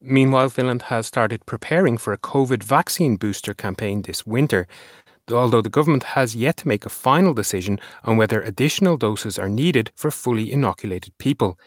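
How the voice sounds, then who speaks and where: A man's voice: medium pitch, animated, brisk, dry recording, clean studio sound. A man with an Irish accent co-hosting a weekly news show, reading headlines and chatting with his co-host. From Ireland. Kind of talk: scripted news reading and casual banter.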